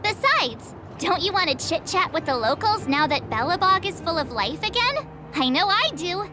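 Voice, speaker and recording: Radosna nastolatka o bardzo wysokim głosie. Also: female voice, very high-pitched, big pitch swings, moderate pace, music in the background. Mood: coaxing, insistent. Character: bubbly, pushy teenage girl adventurer. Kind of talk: video game voice acting.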